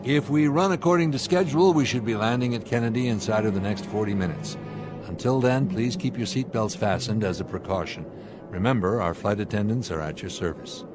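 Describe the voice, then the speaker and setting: A man acting in a radio drama as a steady airline captain with an authoritative, clipped manner. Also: on the low side, brisk, close to an everyday voice. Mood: calmly reassuring. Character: steady, commanding male airline captain of a jet in trouble. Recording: dramatic music behind the voice.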